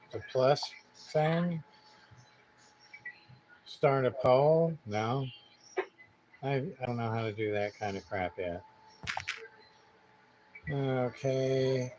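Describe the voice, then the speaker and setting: A man's voice: medium pitch, highs cut off. A man hosting a casual livestream demonstration, thinking aloud in a hesitant, rambling, muttering way. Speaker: livestream host, CB radio repairer. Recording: clear background noise.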